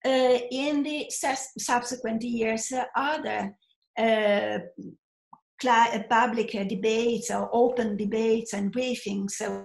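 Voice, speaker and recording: A woman's voice: mid-range voice, gravelly, a little mellow. Echoey older Italian woman lecturing. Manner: halting, hesitant delivery with frequent filled pauses. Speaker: professor giving a lecture. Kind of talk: formal academic lecture, partly read from notes. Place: small indoor room at home or office. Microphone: video call computer microphone. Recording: roomy, echoey webcam sound.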